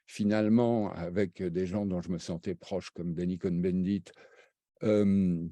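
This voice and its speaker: An older man, raised between Brazil and France, recalling his path as an interview guest in a halting way, with many fillers and restarts. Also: deep voice, fairly even intonation, dark tone, dry recording. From raised half in Brazil, half in France. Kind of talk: hesitant spoken recollection.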